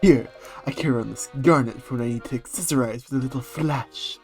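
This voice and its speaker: A man voicing a fussy, dandyish gentleman in a video game, pompous and florid, full of exclamations. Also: mid-range voice, brisk, faint music behind, natural voice. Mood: proud, showy. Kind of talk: voiced video game playthrough.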